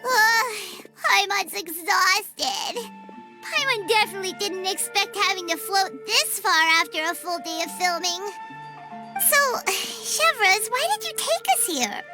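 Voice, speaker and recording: Game fairy sidekick: tiny, chatty, childlike, extremely high squeak, music playing strongly underneath. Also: female voice, expressive, measured pace. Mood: tired, whiny. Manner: talks about self in third person. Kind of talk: video game character acting. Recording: music behind the voice.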